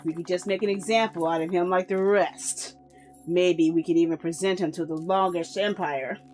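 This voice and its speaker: A woman voicing a cruel male slaver who speaks in a low, quiet, taunting drawl. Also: steady tone, moderate pace, faint music behind, close to an everyday voice. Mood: menacing.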